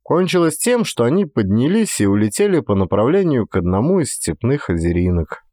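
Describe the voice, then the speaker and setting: A man reading a literary story as an actor, in expressive scripted narration. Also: mid-range voice, a little fast, no room sound, studio quality.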